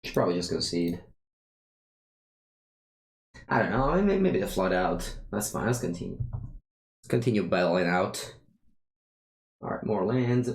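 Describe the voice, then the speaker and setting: Deep-voiced Italian man commenting gameplay. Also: lively intonation, unhurried, pauses often, roomy sound, low-quality recording. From Italy. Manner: thinks aloud with frequent restarts. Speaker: streamer playing a card game.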